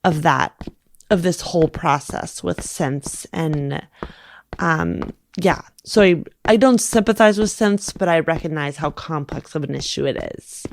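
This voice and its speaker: A woman's voice: deep voice, measured pace, creaky, dry recording. Woman playing a hesitant, earnest undercover visitor. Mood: conciliatory. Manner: hedging, earnest.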